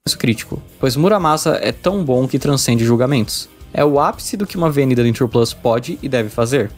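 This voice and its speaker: A man's voice: medium pitch, lively intonation, fairly quick. A man with a Brazilian accent reading a scripted video game review in an emphatic, opinionated way. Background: background music.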